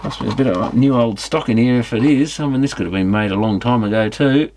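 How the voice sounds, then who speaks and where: A man's voice: medium pitch, fast, noisy background. A man giving casual, unscripted teardown commentary on electronics, musing and thinking aloud as he examines things. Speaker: electronics repair hobbyist presenting a teardown.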